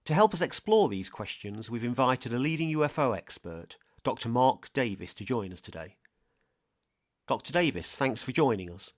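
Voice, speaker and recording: A man's voice: medium pitch, animated, moderate pace, dry recording, clean studio sound. A man hosting a scripted podcast, narrating and putting interview questions to a guest.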